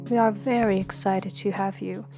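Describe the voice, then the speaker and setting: A woman playing a towering, poised office supervisor in an audio drama, formal, deliberate and icily polite. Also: medium pitch, steady tone, music in the background, natural voice. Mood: poised, inviting.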